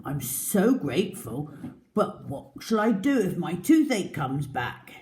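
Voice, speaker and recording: A woman voicing a big male storybook crocodile, grateful and softened, with drawn-out, emphatic words. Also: fairly low pitch, big pitch swings, heavily acted.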